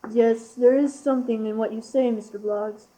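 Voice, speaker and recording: A woman's voice: a little high, steady tone, moderate pace, mellow, close to an everyday voice, low-quality recording. A young teenage girl playing a prim, peacemaking village clergy figure in an amateur school radio play. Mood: reproving.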